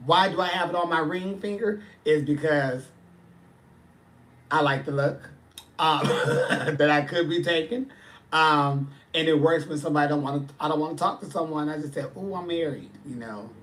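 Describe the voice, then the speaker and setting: A man's voice: fairly high pitch, normal speed, fairly bright tone, slight background noise. A man, chatting casually on a livestream and answering viewers' comments with sass. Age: 40s. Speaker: livestream host.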